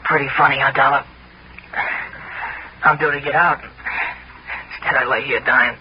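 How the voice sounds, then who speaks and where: A man's voice: mid-range voice, fairly even intonation, slight background noise, lightly acted. A man acting a weak, dying American convict. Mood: bitter, weary. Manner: halting, rough uneducated street speech. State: weak, struggling for breath. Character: dying convict safecracker in his thirties, weak, repentant. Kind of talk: 1960s radio drama acting. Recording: dull old radio recording with music.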